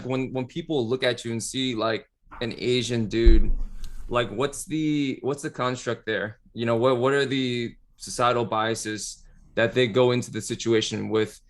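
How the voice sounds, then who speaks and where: A man's voice: mid-range voice, fairly even intonation, unhurried. A man co-hosting a podcast in casual, reflective talk, tentatively thinking aloud and posing questions. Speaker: podcast co-host.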